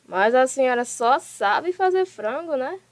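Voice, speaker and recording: A woman plays a young daughter-in-law in a radio soap, aggrieved and feisty. Her high voice whines dramatically, recorded close and dry. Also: lively intonation, unhurried, dry recording. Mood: provoking.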